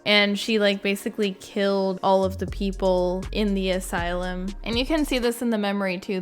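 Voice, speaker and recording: A woman's voice: medium pitch, fairly bright tone. A woman giving chatty, informal commentary as a video creator, saying "like" often. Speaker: video game content creator. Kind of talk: casual commentary ranking game cutscenes.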